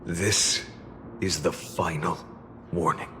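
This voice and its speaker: A man voicing a weary, menacing warrior in a video game, solemn and measured with breathy menace. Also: sits low, fairly even intonation, background noise. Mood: ominous. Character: grave, weary male warrior worn by countless cycles, menacing.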